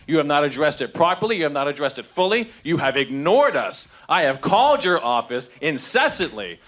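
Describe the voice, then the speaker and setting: A man's voice: a little high, fairly bright tone. A man in his thirties speaking angrily and emotionally into a handheld microphone at a crowded town hall meeting, confronting his congressman. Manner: angry, confrontational, rising in emotion. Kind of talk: impassioned public speech. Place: large crowded meeting room indoors.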